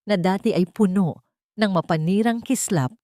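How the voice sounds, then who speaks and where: A woman's voice: medium pitch, moderate pace, dark tone, no room sound, studio quality. A woman storyteller reading a scripted, dramatic first-person narration.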